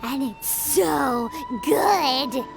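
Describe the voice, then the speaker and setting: A woman voicing a tiny, excitable childlike fairy companion in an anime game, squeaky and bubbly, with exclamatory delivery. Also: very slow, gravelly, heavily acted. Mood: bubbly, eager. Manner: bubbly, exclamatory, speaks of self in third person. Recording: loud game music underneath.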